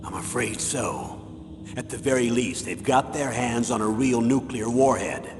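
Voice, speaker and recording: An actor playing a grave, authoritative older military commander, giving a measured, serious mission briefing in a video game. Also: male voice, mid-range voice, natural voice. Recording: long reverb, clear background noise.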